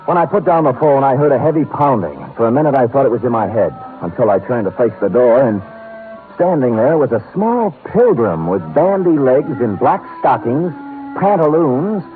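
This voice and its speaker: An actor playing a wry, unflappable, hard-boiled private detective, acting and narrating in 1950s radio style. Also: male voice, medium pitch, very animated, normal speed, faint music behind, lightly acted. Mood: wry narration. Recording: narrow-band old radio broadcast.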